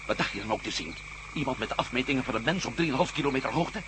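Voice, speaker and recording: An actor playing an excitable, urgent scientist hero in a radio play. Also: male voice, medium pitch, fast talker, very noisy, lightly acted. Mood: thoughtful. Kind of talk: 1970s radio drama acting.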